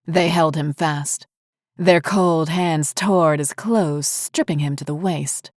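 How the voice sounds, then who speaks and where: A woman with a notably low voice narrates a scripted horror story. The recording is very dry, with hardly any room sound. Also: a little mellow, no echo.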